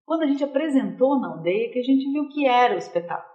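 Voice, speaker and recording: A woman with a Brazilian accent, a theater actress telling a story in a casual TV interview, animated and full of restarts. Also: high-pitched, fast, lo-fi sound. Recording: roomy echo.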